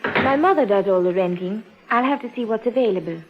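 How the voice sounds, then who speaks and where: A woman's voice: mid-range voice, lively intonation, brisk, background noise, lightly acted. An actress playing a polite, playful young woman in old radio drama dialogue. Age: young adult. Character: poised, friendly young university-educated island woman. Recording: dull old radio recording.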